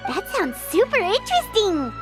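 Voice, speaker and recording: Squeaky, tiny fairy companion. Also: female voice, huge pitch swings, moderate pace, music in the background, heavily acted. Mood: excited, curious. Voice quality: squeaky, childlike. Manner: refers to self in third person, exclamatory. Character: tiny cheerful childlike fairy companion, female, curious. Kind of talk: video game voice acting.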